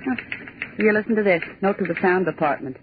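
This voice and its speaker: A woman's voice: medium pitch, a little fast, close to an everyday voice. An actress playing a cheeky, grumbling young woman typist with a scoffing, wisecracking manner in 1940s radio drama style. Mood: teasing, scoffing. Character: cheeky, sarcastic young female script typist, grumbling and fearless. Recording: tinny, noisy 1940s radio recording.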